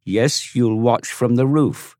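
A man's voice: medium pitch, big pitch swings, moderate pace, darkish tone, no room sound, clean studio sound, voice pushed up. A man voicing a lordly, commanding teenage boy who bosses his younger brothers. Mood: dismissive.

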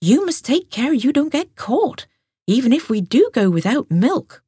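A woman voicing a small, kind female grey rabbit in a storybook reading, with a high, eager voice. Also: big pitch swings, a little slow, dark tone, husky, dry recording, clean studio sound, exaggerated voice. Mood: concerned.

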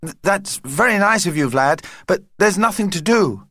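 Man voicing eager, high-pitched young boy. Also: lively intonation, moderate pace, pushed and bright, no room sound, clean studio sound, heavily acted. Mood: anxious, earnest. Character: eager, polite young boy, excited brother.

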